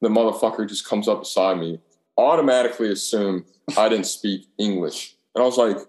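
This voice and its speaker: Low-voiced man in echoey room. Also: a little fast, darkish tone. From Alabama, United States. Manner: profane, slangy, acts out dialogue. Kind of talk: casual chat, telling rambling anecdotes.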